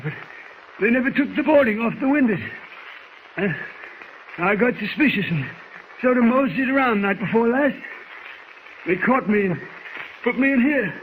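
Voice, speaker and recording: An actor playing a folksy, halting rural caretaker in a 1940s radio drama. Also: male voice, very high voice. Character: plain rural property caretaker, hesitant and suspicious. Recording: tinny, faintly noisy 1940s radio recording.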